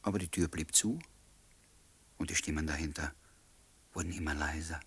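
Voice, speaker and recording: A wry first-person narrator in a radio drama. His voice is deep and husky, measured and resigned in manner. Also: a bit bright, heavily acted. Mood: wry, reflective. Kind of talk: German radio play narration and dialogue.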